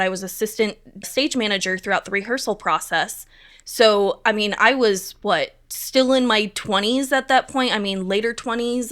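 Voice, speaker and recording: A woman's voice: mid-range voice, fairly bright tone. A woman in her thirties chatting enthusiastically and casually as an interview guest from community theatre.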